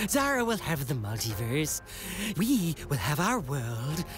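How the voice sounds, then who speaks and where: Evil shadow lord, super slow gloating, swoopy cackly delivery, loud music behind. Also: female voice, deep voice, big pitch swings, exaggerated voice. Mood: triumphant menace. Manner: gloating, theatrical, drawn-out menace. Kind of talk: animated audio drama acting. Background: dramatic music.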